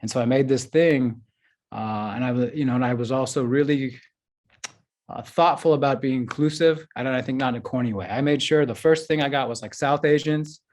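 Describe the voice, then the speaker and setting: A man's voice: medium pitch, fairly quick, a little mellow. Chatty man on a panel. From United States. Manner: loose and chatty, full of "like" and "you know what I'm saying". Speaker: filmmaker, panelist. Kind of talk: casual panel discussion.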